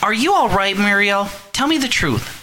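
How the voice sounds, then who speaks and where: A man's voice: high voice, lively intonation, normal speed, pushed and bright, a little hiss or hum, voice pushed up. A man voicing an anxious middle-aged mother who nags her daughter over the phone with worried, insistent questions.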